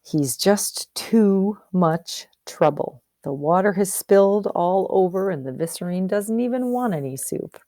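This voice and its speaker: A woman's voice: medium pitch, expressive, unhurried, hardly any pauses, mellow, dry recording, clean studio sound. A woman teacher doing a storybook read-aloud, explaining and posing questions as she goes. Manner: explanatory, asks and answers questions.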